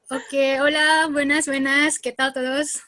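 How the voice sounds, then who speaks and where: A woman from Indonesia with an Indonesian accent, giving a seminar presentation in beginner Spanish, often pausing to search for words, over a video call. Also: high voice, little pitch movement, bright, forceful tone, dry recording.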